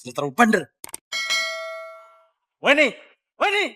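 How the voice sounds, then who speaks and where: A man's voice: very high voice, wildly swooping pitch, a little slow, sharp tone, slight background noise, heavily acted. An actor playing a stern, scolding father in an Indonesian television drama, with an Indonesian accent. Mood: stern, angry. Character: stern father and wealthy householder, quick to scold.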